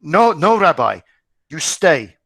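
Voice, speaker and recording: A man's voice: on the high side, big pitch swings, takes it slowly, creaky, fairly bright tone, no echo, pitched above the performer's own voice, heavily acted. A man reading a novel aloud, voicing a frightened, desperate middle-aged father close to tears. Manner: pleading, near tears.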